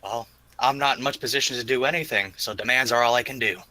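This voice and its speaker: A man's voice: medium pitch, fast, pushed and bright, no room sound, low-quality recording. A man playing a cocky half-orc adventurer who speaks in formal challenges in a tabletop game. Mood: defiant. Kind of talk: tabletop roleplay dialogue.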